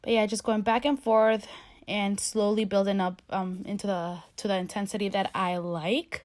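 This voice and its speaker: A woman's voice: mid-range voice, flat delivery, normal speed, a little mellow. Young female beauty vlogger, stuffy from a cold, rambling and chatty, close camera mic in her bedroom. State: congested from a cold. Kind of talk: makeup tutorial narration. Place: bedroom at home.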